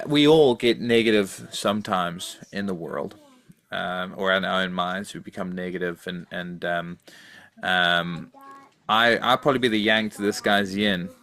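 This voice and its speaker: A man's voice: lowish voice, bright, forceful tone. A man co-hosting a podcast in casual chat, thoughtful and hesitant, often repeating his phrases. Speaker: podcast co-host.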